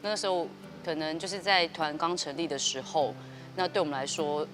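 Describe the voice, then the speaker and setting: A Taiwanese woman in her thirties explains things quickly and fluently in a notably low voice. Music plays clearly under her in a TV studio. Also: fairly even intonation. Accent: Taiwanese Mandarin. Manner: fluent, measured explaining with fillers. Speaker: guest, dance company artistic director. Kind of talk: interview answer. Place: indoor TV studio set.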